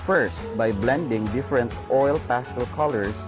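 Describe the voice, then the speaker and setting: Filipino man narrating over clear music. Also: mid-range voice, normal speed. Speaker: art instructor. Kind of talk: scripted lesson narration. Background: background music.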